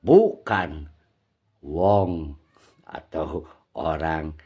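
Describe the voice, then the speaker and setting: A man from Indonesia with a husky voice, giving an impromptu political monologue in an emphatic, scolding, lecturing manner. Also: extremely low pitch, extremely slow, dark tone. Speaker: nationalist commentator.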